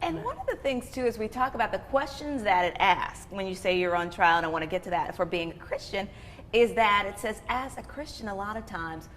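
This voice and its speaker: A woman's voice: mid-range voice, animated, brisk, a bit bright. Woman interviewer in an echoey hall. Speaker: talk show host. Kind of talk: conversational interview on a community TV show. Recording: echoey hall reverb with background noise.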